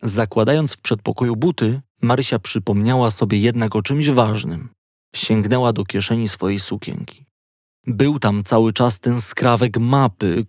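A man's voice: medium pitch, darkish tone, dry recording. Dry-sounding male children's audiobook narrator. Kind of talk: scripted children's story narration.

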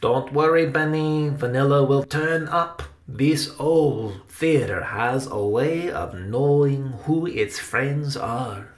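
Man voicing a calm, soft-spoken theater man, slow. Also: mid-range voice. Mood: soothing. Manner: soft-spoken, reassuring, dryly amused. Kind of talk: children's audiobook character voice.

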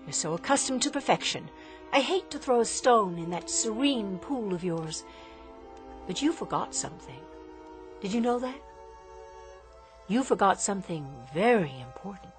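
An actress playing an inventor's desperate, defiant grown daughter in a radio drama, impassioned and theatrical in delivery. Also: female voice, mid-range voice, animated, normal speed, pauses often, soft background music, close to an everyday voice. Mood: bitter, warning.

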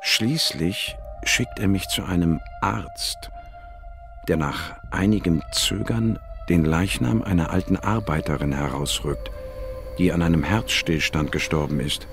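An actor playing an inquisitive, wry male biologist, narrating in a measured, dry manner in a radio drama. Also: deep voice, over music. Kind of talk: scripted first-person radio drama narration.

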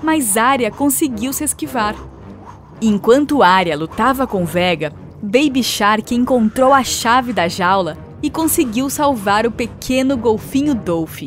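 A woman narrates a children's cartoon story from a script. Her voice sits a little high, and music plays faintly underneath. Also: lively intonation, unhurried.

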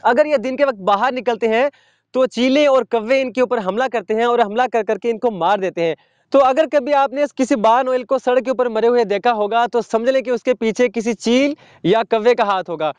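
Young male nature presenter, very high voice, dry outdoor sound, measured explainer. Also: clear, resonant tone, dry recording. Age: young adult. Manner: measured, teacherly, addresses viewer directly. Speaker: wildlife channel host. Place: open sandy ground outdoors.

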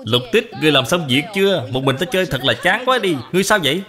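A man's voice: fairly high pitch, animated, quick pace, pushed and bright, long reverb tail. A man voicing a spoiled, impatient young boy in a cartoon dub, brash and demanding. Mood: sulky, demanding.

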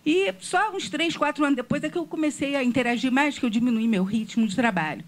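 A woman with a Rio de Janeiro accent and a fairly high voice, standing in the audience of a meeting room. She speaks into a handheld microphone, earnest and questioning, building her point through a personal story. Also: quick pace. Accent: Rio de Janeiro Portuguese. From Rio de Janeiro, Brazil. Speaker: audience member asking a question.

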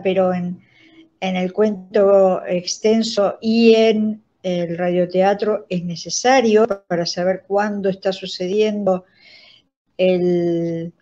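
An older woman with an Argentine accent, explaining things as an interview guest in a measured, didactic way. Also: mid-range voice, warm tone. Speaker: guest, radio theatre director and writing teacher. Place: indoors, plain room on a video call. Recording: dull lo-fi video call audio.

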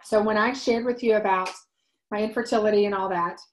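Earnest forties woman host, loud voice, halting storytelling into a home webcam. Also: medium pitch, steady tone, poor audio. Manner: earnest, many ums and restarts, talks with her hands. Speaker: host of a wellness livestream. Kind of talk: casual personal storytelling. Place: home room, indoors. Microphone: webcam at desk.

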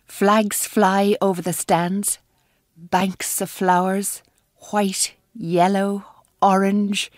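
A woman playing a refined, reflective storyteller, narrating a radio drama in a measured, descriptive manner. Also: on the low side, darkish tone.

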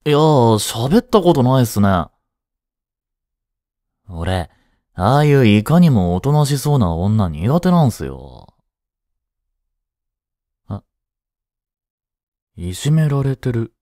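A man acting a cheeky, laid-back high-school slacker in a romantic audio drama, with casual, teasing, blunt slang. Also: sing-song, takes it slowly, long pauses, dark tone, no room sound, studio quality. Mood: casual, nonchalant.

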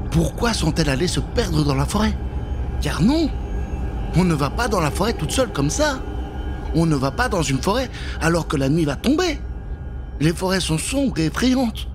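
A man's voice: on the high side, a little mellow. A middle-aged man narrating a spooky children's tale in a theatrical, playful way, speaking straight to the listeners on a close studio microphone. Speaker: storyteller, narrator. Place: dark studio booth. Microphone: close studio microphone with pop filter. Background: prominent music.

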